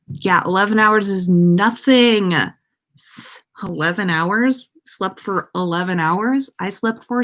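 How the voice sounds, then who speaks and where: A woman's voice: mid-range voice, fairly bright tone. An American woman hosting a casual livestream, chatting to viewers in a playful, rambling way. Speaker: podcast livestream host.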